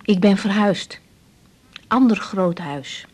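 An actress playing a caring, practical young female doctor in a radio drama, asking and explaining in a brisk, direct way. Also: medium pitch, a little mellow, slightly rough. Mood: concerned, patient. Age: young adult.

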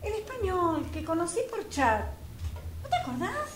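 A woman acting a high-voiced, defiant Argentine sister. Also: big pitch swings, normal speed. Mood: hesitant, confiding. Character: woman in love, defiant, weary caregiver sister. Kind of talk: amateur radio drama acting.

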